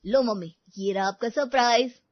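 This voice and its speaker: A woman's voice: fairly high pitch, normal speed, dry recording, lo-fi sound, natural voice. A woman voicing an eager, pleading college-age son in a family moral story. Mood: proud.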